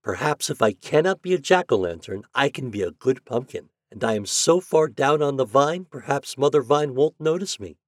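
A man's voice: mid-range voice, lively intonation, normal speed, hardly any pauses, no echo, studio quality, pitched above the performer's own voice, close to an everyday voice. A man voicing an earnest little boy pumpkin in a storybook, worried and plaintive, with an eager manner. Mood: hopeful.

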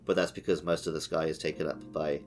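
A man with a very low-pitched voice talks casually as a podcast co-host. His delivery is informal and conversational, with light music behind him. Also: flat delivery, fast talker. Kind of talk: casual chat, video game discussion. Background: background music.

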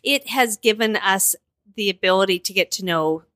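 A woman's voice: medium pitch, moderate pace, fairly bright tone, no room sound. Enthusiastic talkative woman guest. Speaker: guest, show host. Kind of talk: casual interview. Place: film festival.

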